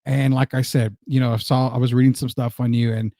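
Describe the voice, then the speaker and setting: A man's voice: medium pitch, level tone, fairly quick, warm tone, dry recording, clean studio sound. An American man hosting a podcast, chatting through promotional patter and introducing his guest in an upbeat interview style. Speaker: podcast host.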